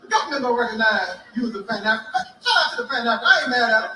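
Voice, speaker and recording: A man's voice: extremely high pitch, very animated, rapid-fire, pushed and bright. A man from the Chicago area giving a fiery speech at a public rally in a preacherly style with call-and-response chants. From Chicago area, USA. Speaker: rally speaker. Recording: echoey rally recording from far off with faint background noise.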